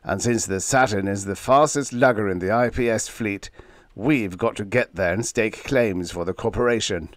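Male reader doing an irascible old space skipper, loud and gruff. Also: fairly low pitch, moderate pace, dry recording, clean studio sound, close to an everyday voice. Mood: stern. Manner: roaring, gruff and blustering.

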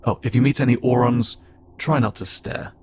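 A man voicing a friendly, curious, slightly awkward alien spacefarer in a conversational audio roleplay, speaking haltingly and wryly. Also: medium pitch, fairly even intonation, very noisy, natural voice. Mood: easygoing, reassuring. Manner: casual, halting, gently wry. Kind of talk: conversational audio roleplay acting to an unheard listener.

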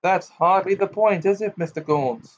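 A man's voice: high voice, normal speed, pitched above the performer's own voice. A man voicing a formal male official who speaks hastily and then firmly. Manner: hasty, then firm and formal. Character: flustered but dogged male official. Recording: dull lo-fi recording.

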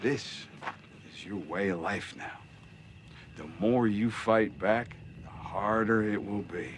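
An actor with an American accent playing a smug, cruel middle-aged gang leader in a TV drama, drawling and mocking with deliberate pauses. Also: male voice, fairly low pitch. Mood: calm menace. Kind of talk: television drama villain monologue. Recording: TV drama clip with noticeable background noise.

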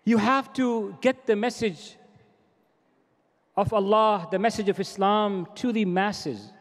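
A man's voice: high-pitched, slow. A middle-aged man giving a formal welcome speech at a convention podium, earnest and exhorting, often repeating his phrases for emphasis. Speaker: organization president giving a welcome address. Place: large convention hall stage. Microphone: podium microphones. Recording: long reverb of a large hall.

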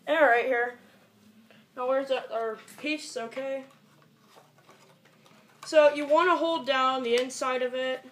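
High-pitched rambling young teen vlogger. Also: fairly even intonation, takes it slowly, pauses often, a bit bright. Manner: rambling, muttering to self, swearing. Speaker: amateur video maker. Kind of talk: casual improvised tutorial vlog. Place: small bedroom, indoors. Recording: echoey, noisy amateur home recording.

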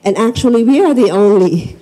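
A woman's voice: a little high, expressive, a little fast, dark tone, low-quality recording. A middle-aged woman with a Filipino accent, giving an informal welcome speech into a handheld microphone as an event host in a school library. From the Philippines.

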